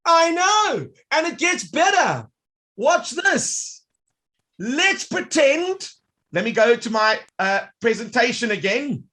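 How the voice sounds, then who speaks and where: A man presenting a live online workshop, demonstrating software in an upbeat, showman-like way that talks directly to the audience. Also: very high-pitched, very animated, slow.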